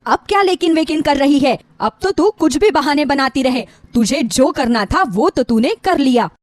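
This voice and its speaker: A woman voicing an older, bitter mother-in-law in a story, with a sharp, nagging manner. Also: high-pitched, expressive, moderate pace, no echo, clean studio sound. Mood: scornful.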